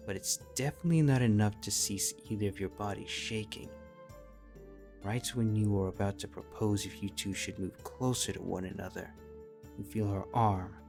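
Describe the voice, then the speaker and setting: Deep-voiced man narrating a story. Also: vocal fry. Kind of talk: scripted fan fiction narration. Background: music.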